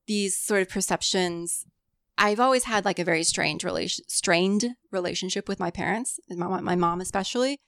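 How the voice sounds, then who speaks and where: A woman's voice: lowish voice, a bit bright, smooth, no echo, clean studio sound. A woman whose first language was Cantonese, talking reflectively in a casual podcast chat, full of "like" and "you know".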